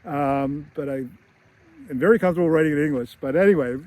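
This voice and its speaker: A man's voice: a little high, fast, a little mellow. Chatty middle-aged Boston man outdoors. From United States. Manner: chatty and rambling, with laughs and restarts. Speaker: screenwriter and teacher. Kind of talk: casual vlog to camera. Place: outdoors near a beach.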